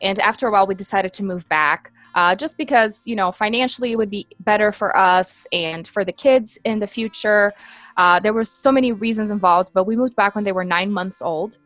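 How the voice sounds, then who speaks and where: A woman from Brazil, in her thirties, speaks English. She tells her story as an interview guest, earnest and reflective. Her voice is dry and close, with soft music faintly underneath. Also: medium pitch, a little fast, dry recording. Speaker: guest, empowerment coach. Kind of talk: interview conversation.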